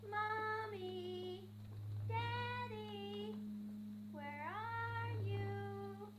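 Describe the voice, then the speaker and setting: A woman playing an eerily sweet little girl in an old radio suspense drama, calling in a slow singsong. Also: very high-pitched, exaggerated voice. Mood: eerie, playful. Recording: muffled old radio, distant, music behind.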